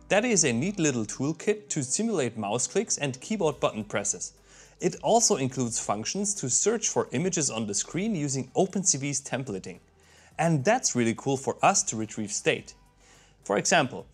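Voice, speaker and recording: A man in his thirties gives an upbeat, technical voice-over about his workshop project. His voice sits at an ordinary middle pitch, recorded close on a lapel mic. Soft music plays faintly underneath. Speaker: maker and YouTube host. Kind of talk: scripted tech voice-over narration. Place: workshop with shelves of equipment.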